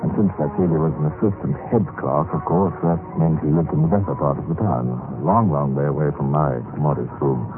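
A man's voice: extremely low pitch, a little fast, few pauses, phone-quality sound. An actor playing a meek, flustered clerk who tells his own tale in a radio drama, with a hesitant, apologetic manner. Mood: reflective. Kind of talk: radio drama acting and first-person narration. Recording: band-limited old radio, music behind.

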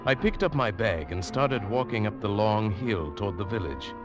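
A man playing a formal, sceptical gentleman traveller who narrates an eerie 1940s radio drama. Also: fairly low pitch, normal speed, highs cut off, natural voice. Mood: haunted recollection. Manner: formal, expressive, polite but incredulous. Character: reserved, sceptical English gentleman traveller recounting an eerie tale. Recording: vintage radio drama, music behind.

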